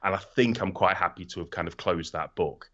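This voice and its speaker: Deep-voiced man, casual gaming podcast host. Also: fairly quick, fairly bright tone, muffled recording. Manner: casually sweary. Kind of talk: casual podcast chat about video games.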